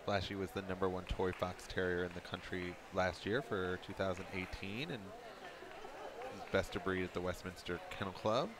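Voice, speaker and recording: A very deep-voiced man calls a live dog show, relaxed and quick to poke fun at himself. Steady crowd noise runs under his broadcast commentary. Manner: relaxed, self-deprecating joking.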